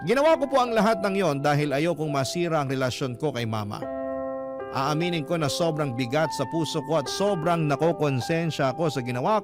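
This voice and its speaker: A Filipino man narrates a radio drama in scripted first person. His voice sits a little high for a man, with very prominent music underneath. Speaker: radio drama narrator reading a letter sender's story. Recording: loud music bed under the voice.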